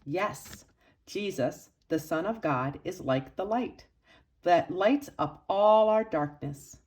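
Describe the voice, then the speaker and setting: A woman reading a children's picture book aloud expressively, with dramatic emphasis and pauses for young listeners. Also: lowish voice, lively intonation, darkish tone. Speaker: storybook reader for children's church.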